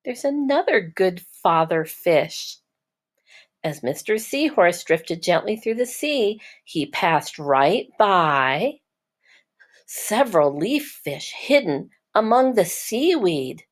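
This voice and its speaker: A woman teacher narrating a children's storybook in a measured, explanatory way for young listeners. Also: fairly low pitch, big pitch swings. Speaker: teacher reading aloud.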